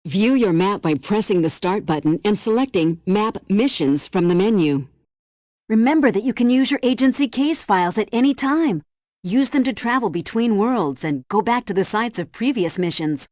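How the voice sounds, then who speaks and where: A woman's voice: medium pitch, expressive, normal speed, darkish tone, no echo, studio quality, close to an everyday voice. A woman playing a composed, helpful spy agency helpdesk operator in a video game, giving scripted instructions in a precise briefing style. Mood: composed, instructive. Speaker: mission helpdesk guide. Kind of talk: scripted game tutorial narration.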